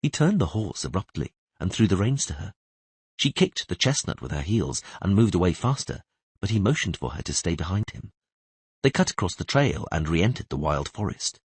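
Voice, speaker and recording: A man's voice: low-pitched, sing-song, normal speed, husky, no echo. A man reading scripted fantasy narration as an audiobook narrator.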